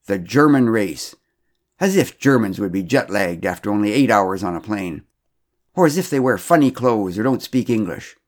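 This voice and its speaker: A man voicing an indignant, pompous middle-aged businessman who declaims loudly and slowly. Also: on the low side. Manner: loud, slow and declaiming.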